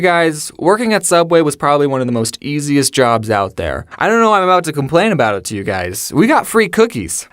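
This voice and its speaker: A man's voice: on the high side, no echo, clean studio sound. A young adult man with an American accent telling a casual comedic story to his viewers, chatty and self-deprecating with sarcastic asides. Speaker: storyteller and video host.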